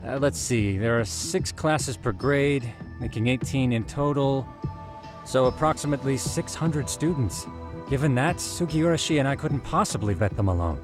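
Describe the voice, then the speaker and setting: Casting: male actor for an eager bookish game sleuth, formal and wordy, lively pitch swings, faint music. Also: moderate pace, natural voice. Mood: precise, businesslike. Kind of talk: English video game dub acting.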